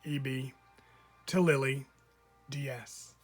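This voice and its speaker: A man, a teacher, reading a children's picture book aloud with lively, expressive storytelling. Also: medium pitch, slow, faint music behind.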